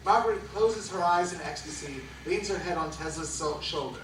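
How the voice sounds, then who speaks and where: Higher-voiced man narrating in echoey room. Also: moderate pace. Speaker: narrator of stage directions. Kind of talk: scripted narration at a live screenplay reading. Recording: echoey room with clear background noise.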